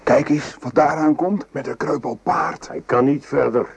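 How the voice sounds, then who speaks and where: A man playing an excitable French infantry soldier in a radio play, speaking in clipped, dramatic phrases. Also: a little high, normal speed, gravelly, husky, exaggerated voice. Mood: watchful, scornful. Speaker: actor. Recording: noisy old radio play recording.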